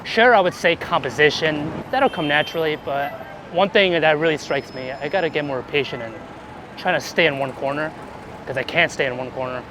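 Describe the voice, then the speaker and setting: Higher-voiced man chatting on a noisy street. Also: a little fast. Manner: animated, informal, laughs easily, some swearing. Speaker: interviewed street photographer. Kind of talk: casual chat while walking outdoors. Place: outdoors on busy city streets. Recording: clear city street traffic noise.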